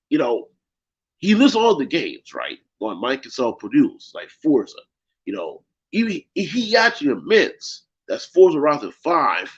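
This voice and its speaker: A man with a husky, worn-out voice hosts a gaming podcast chat, his pitch swinging up and down as he presses his point. The sound is dull and lo-fi, like call audio. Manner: emphatic, repeats himself, keeps asking "right?". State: losing his voice. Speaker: podcast host.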